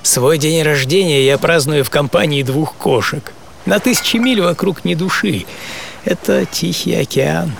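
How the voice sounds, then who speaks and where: A man acting a hardy, serene seventy-year-old sailor in an audio play monologue, reflective and intimate, with savoring pauses. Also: on the high side, vocal fry, slight background noise. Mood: calm, proud. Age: 70s. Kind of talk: first-person dramatic monologue in an audio play. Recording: music behind the voice.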